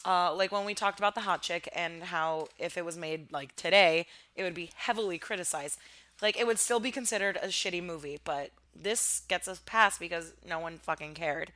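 Bright-voiced chatty woman. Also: on the low side, normal speed, no room sound. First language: Armenian. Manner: chatty, laughing, acts out quotes. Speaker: podcast co-host. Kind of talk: casual chat, film review.

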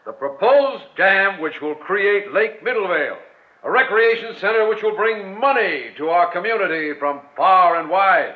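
An actor playing a pushy, impatient small-town mayor with a bossy manner, in a 1940s radio drama. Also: male voice, sits high, lively intonation, moderate pace. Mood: orating. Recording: muffled old radio broadcast.

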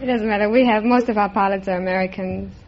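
A woman's voice: medium pitch, steady tone, fast, some chatter behind, slight background noise. A woman in her twenties from Israel, answering a quiz host's questions in a hesitant, earnest way. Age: 20s. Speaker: quiz show contestant, airline hostess. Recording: dull vintage radio recording.

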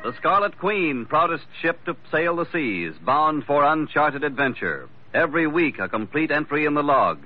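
A man's voice: fairly high pitch, animated, moderate pace, hardly any pauses. A man announcing a 1950s radio drama in a formal, declamatory manner. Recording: dull old radio broadcast over loud music.

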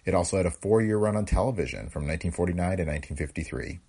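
A man with a gravelly voice reading scripted narration as a podcast host. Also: sits low, expressive, rapid-fire, darkish tone.

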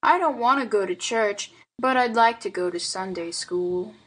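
A woman's voice: mid-range voice, moderate pace, fairly bright tone, close to an everyday voice, rough recording. A woman voicing a mischievous, earnest little boy in a storybook, with childish slang and plaintive reasoning. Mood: despondent, pondering. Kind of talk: storybook character dialogue.

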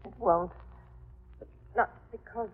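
A woman's voice: medium pitch, takes it slowly, lightly acted. An actress playing an emotional, vengeful female accomplice, urgent and hard, in an old radio crime drama. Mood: tense threat. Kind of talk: 1940s radio drama acting. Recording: very noisy, tinny old radio recording.

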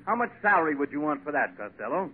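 A man's voice: a little high, very animated, fast talker, breathy edge. A man playing a polished, insistent and patronizing Hollywood talent agent in a 1940s radio comedy. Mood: businesslike. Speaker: actor. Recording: tinny, hissy old 1940s radio broadcast.

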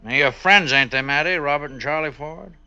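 An actor playing a bold, goading American sheriff in an old-time radio western, with a folksy, insistent way of talking. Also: male voice, fairly high pitch, fairly quick, pushed and bright, clear, resonant tone. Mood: firm, pressing. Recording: dull vintage radio recording, faint music.

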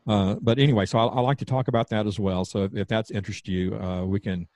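Southern man, slightly slurred halting speech. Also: on the low side, fairly even intonation, a little mellow, dry recording. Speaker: podcast guest, artist. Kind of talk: casual podcast conversation.

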